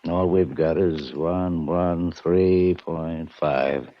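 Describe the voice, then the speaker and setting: A gruff, stumped police lieutenant in a 1940s radio drama. He speaks slowly and very low, in few words. The recording is dull and aged. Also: steady tone. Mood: gruff, baffled. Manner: gruff, laconic. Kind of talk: 1940s radio detective acting.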